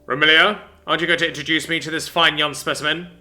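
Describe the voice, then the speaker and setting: A man reading a story aloud, voicing a stern, towering armored warlord father who talks in a loud, commanding way. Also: a little high, fairly even intonation, fast, voice pushed up. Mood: probing.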